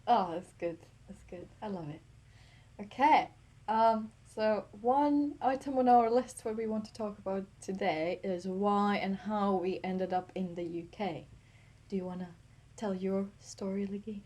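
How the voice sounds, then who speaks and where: A woman from Latvia, co-hosting a podcast in casual chat, relaxed and quick with little asides. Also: mid-range voice, slightly rough. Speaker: podcast co-host.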